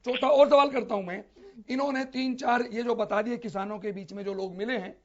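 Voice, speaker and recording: A man's voice: very high-pitched, fairly quick. A man arguing as a panelist in a political debate, emphatic and repeating his points. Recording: dull, lo-fi broadcast audio.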